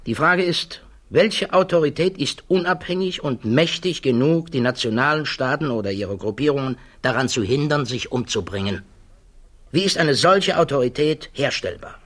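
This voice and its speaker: A male actor in a 1960s German radio drama playing a troubled, thoughtful physicist who testifies in a hesitant, measured way. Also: medium pitch, animated, fairly bright tone. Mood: grave, firm.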